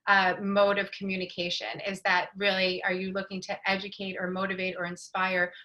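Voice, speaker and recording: A woman panelist giving a measured, advisory explanation over a video call. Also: mid-range voice, monotone, sharp tone, poor audio. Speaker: brand strategist, panelist. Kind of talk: explanatory presentation.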